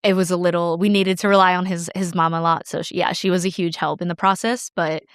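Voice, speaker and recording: A woman's voice: fairly low pitch, level tone, fast, clean tone, no echo, studio quality. A young woman, a podcast guest, chatting casually with frequent laughs and restarts. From United States. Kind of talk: casual interview chat.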